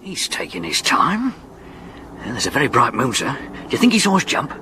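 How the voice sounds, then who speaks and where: An actor playing a plain-spoken, jittery police sergeant with an English accent, a dutiful underling, in a 1970s British radio drama. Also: male voice, mid-range voice, huge pitch swings, a little fast. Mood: wary. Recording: noisy old radio drama recording.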